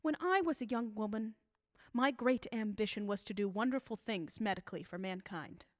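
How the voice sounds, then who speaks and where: A woman acting in a radio drama as a bitter old scientist who invents deadly substances, with deliberate, formal, theatrical delivery. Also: medium pitch, dry recording, clean studio sound, close to an everyday voice. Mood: grimly proud.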